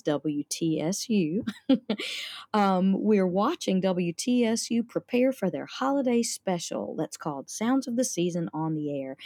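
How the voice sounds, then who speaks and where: A woman's voice: fairly low pitch, dark tone, dry recording. A woman theater director answering interview questions about her holiday show, enthusiastic and promotional.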